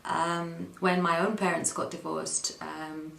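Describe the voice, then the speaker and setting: A woman from the Netherlands giving a personal account as an interview guest, speaking hesitantly with frequent pauses and ums, recorded in an echoey room. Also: on the low side, flat delivery, creaky, fairly bright tone.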